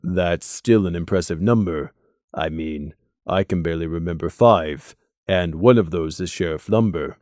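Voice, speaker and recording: A man voicing a reserved male winged pony guard with an understated, halting manner in an audiobook story. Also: low voice, darkish tone, dry recording, clean studio sound, pitched below the performer's own voice, lightly acted. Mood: impressed. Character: Crimson, low-voiced reserved male pegasus guard stallion.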